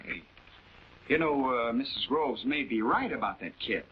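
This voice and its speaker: An actor playing a casual, folksy police sidekick in a 1940s television crime drama. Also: male voice, mid-range voice, animated, moderate pace, husky. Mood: musing. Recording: muffled, noisy old 1940s film soundtrack.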